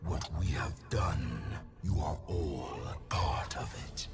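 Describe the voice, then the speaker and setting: An actor playing a menacing galaxy-conquering video game villain, possessed by alien power, making solemn, pompous proclamations. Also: male voice, rumbling low, lively intonation, slow, slightly rough, full character voice. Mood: solemn, grandiose. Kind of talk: video game champion voice lines. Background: music.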